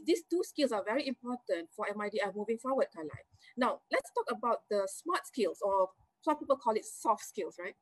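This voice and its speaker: Emphatic Malaysian woman executive, bright voice. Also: a little high, breathy edge, dry recording, rough recording. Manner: energetic, emphatic, lists points with rising tags. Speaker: company executive guest. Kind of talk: interview answers.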